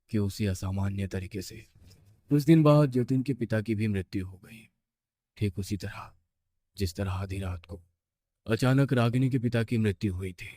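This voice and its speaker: A man's voice: fairly low pitch, dark tone. A man narrating a scripted horror story with suspenseful, dramatic phrasing.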